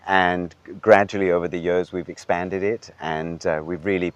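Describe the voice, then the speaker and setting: A middle-aged man from Denmark, a hotel general manager, speaking thoughtfully in a relaxed interview, with frequent ums and restarts. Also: low-pitched, moderate pace, clear, resonant tone, muffled recording. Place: hotel grounds.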